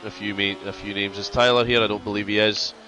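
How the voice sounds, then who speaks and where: A man's voice: lowish voice, flat delivery, quick pace, bright, forceful tone, lo-fi sound, soft background music. A man doing casual sim racing commentary, congested from a cold, chatty and prone to repeating himself.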